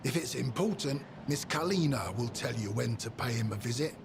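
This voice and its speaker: An actor playing a menacing henchman in a video game, gravelly and matter-of-fact in his threats. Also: medium pitch, animated, moderate pace, noisy background. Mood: quietly threatening. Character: menacing male henchman guarding his boss. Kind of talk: video game character dialogue.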